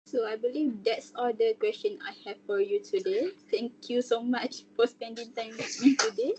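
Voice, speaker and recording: Husky woman interviewer, lo-fi. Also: on the high side, fairly even intonation, moderate pace, a little mellow. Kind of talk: student interview questions. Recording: dull lo-fi recording.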